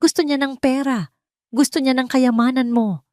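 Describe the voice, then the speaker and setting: Woman acting out a tearful, high-strung guilty businessman. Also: fairly even intonation, moderate pace, a little mellow, no echo, clean studio sound, lightly acted. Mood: desperate blaming. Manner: tearful, pleading. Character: cornered, guilt-ridden businessman sobbing and begging his wife.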